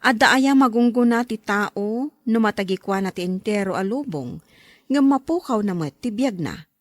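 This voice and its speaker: A woman announcing and narrating a scripted radio program in a measured, formal manner. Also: mid-range voice, lively intonation, dry recording.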